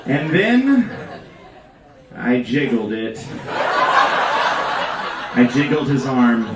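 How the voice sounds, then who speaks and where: A man reading a comic story aloud at a live event, with theatrical emphasis and dramatic pauses. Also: mid-range voice, very animated, dull audio, over a noisy crowd. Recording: echoey live room, distant mic, background noise.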